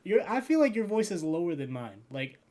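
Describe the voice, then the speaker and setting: A man's voice: high-pitched, sing-song, a little fast, warm tone. A young man hosting a casual podcast chat, rambling with lots of fillers and frequent laughter. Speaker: podcast host and editor.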